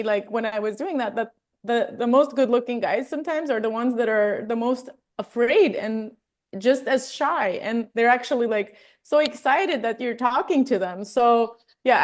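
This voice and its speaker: A woman's voice: a little high, normal speed. A woman from Armenia, a wellness coach and interview guest, telling stories in a chatty, casual way with lots of fillers.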